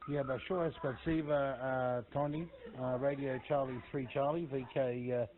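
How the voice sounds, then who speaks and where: A man chatting as an amateur radio operator over a radio transmission, speaking in a halting, hesitant way. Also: mid-range voice, fairly even intonation. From Australia. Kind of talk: casual radio contact chat. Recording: narrow phone-like radio link audio.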